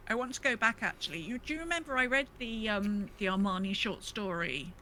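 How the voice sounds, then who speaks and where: A woman's voice: mid-range voice, expressive, brisk, heavy background noise. A woman co-hosting a podcast, chatting casually and reading out listener comments with gushing enthusiasm. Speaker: podcast co-host.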